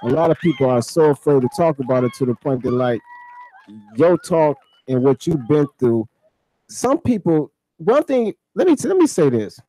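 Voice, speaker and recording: A man's voice: on the high side, sing-song, normal speed, mellow, no room sound, poor audio. A man hosting a radio talk show, speaking reflectively and repeating phrases for emphasis. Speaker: radio host.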